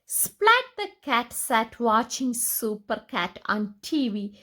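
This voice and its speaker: A woman reading picture books aloud to young children in an expressive, deliberate storytelling manner. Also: fairly high pitch.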